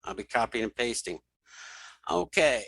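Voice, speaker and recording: Male tutorial host, middle-aged, crisp dry mic, bright delivery, informal step-by-step narration that thinks out loud. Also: mid-range voice, big pitch swings, moderate pace, dry recording. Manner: informal, thinking aloud, repeats key steps. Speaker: tutorial host and web developer. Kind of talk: screen reader software tutorial, talking through steps.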